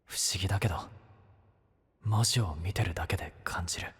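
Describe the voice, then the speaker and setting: A man's voice: deep voice, normal speed, fairly bright tone, slightly rough, no echo, heavily acted. A man voice-acting an earnest, awkward young knight in an audio drama, blunt and casual, often muttering to himself. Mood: gentle. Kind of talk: Japanese anime-style voice drama acting.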